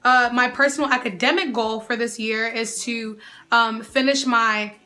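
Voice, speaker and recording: A sassy young woman chatting straight to camera with a bright, ringing tone. She sits a little high in her range and keeps the banter lively as she answers viewer questions. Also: moderate pace. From United States. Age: young adult. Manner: sassy, animated, often calls the viewer "girl". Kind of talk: casual Q&A vlog.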